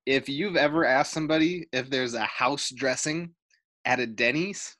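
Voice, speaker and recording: A man hosting a casual sports podcast, self-mocking and awkward, talking fast in bursts. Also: mid-range voice, sharp tone, no echo. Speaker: podcast host. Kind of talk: casual chat.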